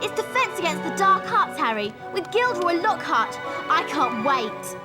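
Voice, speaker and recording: A woman's voice: very high voice, big pitch swings, moderate pace, loud music behind the voice. An actress playing an earnest schoolgirl who explains things precisely, like a know-it-all. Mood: eager. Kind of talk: video game voice acting.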